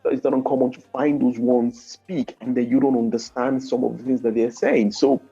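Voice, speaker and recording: Nigerian man, husky warm voice, repeats words as he explains, dull lo-fi audio, faint music behind. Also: medium pitch, little pitch movement, moderate pace. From Nigeria (Lagos). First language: Yoruba. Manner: explains step by step, with many restarts and repeated words. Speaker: guest, game developer. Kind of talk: interview conversation.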